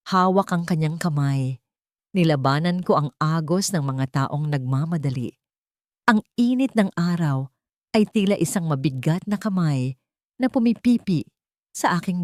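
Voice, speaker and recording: A woman with a fairly low voice narrates a dramatic first-person story. Her delivery is measured and solemn, with a literary storytelling feel. The recording is dry and close, free of room sound. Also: a little mellow, dry recording.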